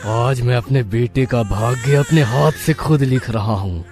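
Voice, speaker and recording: A man's voice: medium pitch, a little slow, music in the background, natural voice. A man playing a rich, scheming, ruthless astrologer in a cartoon story, with grave, emphatic delivery. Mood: menacing resolve.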